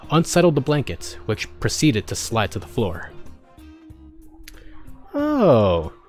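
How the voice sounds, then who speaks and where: A man narrating a story in the first person as a streamer, expressive and a little halting, correcting himself as he goes. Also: lowish voice. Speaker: streamer reading fan fiction aloud. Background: background music.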